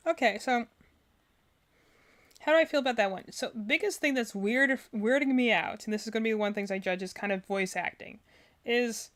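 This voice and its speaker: A woman with a slightly high voice talks casually as a streamer reacting to game videos. Her commentary is unscripted and rambling, as she thinks out loud through each opinion.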